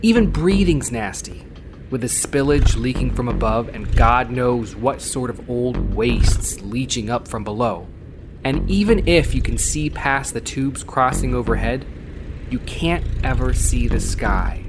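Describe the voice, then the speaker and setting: A man's voice: mid-range voice, expressive, measured pace, over music, lightly acted. A man playing a jaded, wry cyberpunk courier, narrating a gritty first-person audio drama in a world-weary, sardonic manner. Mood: bitter, weary.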